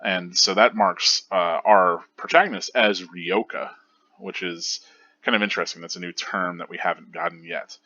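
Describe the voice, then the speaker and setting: A man's voice: sits low, lively intonation, normal speed, sharp tone, no echo, dull audio. A man hosting a manga reread show, giving casual analytical commentary in an enthusiastic, rambling way with lots of fillers and restarts.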